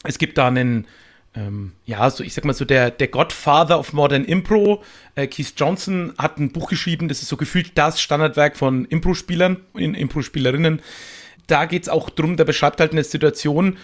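A man's voice: fairly high pitch, moderate pace. A man chatting as a podcast guest, explaining improv in a talkative, casual way with little examples.

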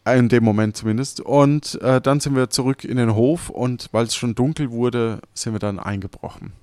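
A man's voice: medium pitch, normal speed, darkish tone, dry recording. Man in a dry, casual podcast chat. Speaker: podcast host recapping an improvised game. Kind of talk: casual conversational recap.

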